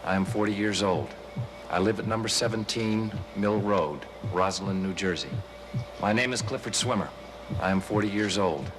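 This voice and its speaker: A man's voice: on the low side, moderate pace, noisy background, natural voice. An actor playing a bitter, wisecracking man in his forties who is fed up with his life, in a 1970s TV movie. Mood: flat, repetitive. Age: 40s. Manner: wisecracking, sarcastic, exasperated. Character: bitter, sardonic middle-aged man in therapy.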